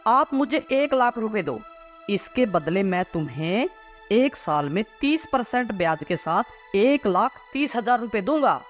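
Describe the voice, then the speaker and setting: A man playing a trusting husband in a moral story, persuasive and quick to brush worries aside. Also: high voice, very animated, fairly bright tone, soft background music, full character voice. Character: trusting, reassuring husband and small-time property dealer.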